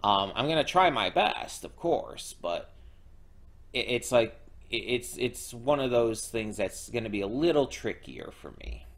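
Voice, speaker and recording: A young man casually recapping a show episode as a reaction host, halting with many fillers and restarts. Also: medium pitch, expressive, fairly bright tone.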